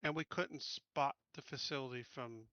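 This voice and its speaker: A man talking casually as a player in a tabletop game, asking questions in a careful, deliberate way. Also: medium pitch, pushed and bright, clean tone, no room sound, lo-fi sound.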